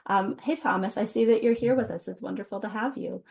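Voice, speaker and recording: A woman's voice: medium pitch, brisk, vocal fry, darkish tone. A woman moderating a video-call webinar, chatty and upbeat, with frequent ums and restarts. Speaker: webinar moderator and food science advisor. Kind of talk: casual webinar hosting.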